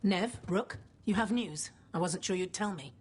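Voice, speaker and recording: A woman's voice: lowish voice, moderate pace, a little hiss or hum, natural voice. An actress playing a wary, determined woman of the law in a cinematic game scene. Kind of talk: cinematic video game acting.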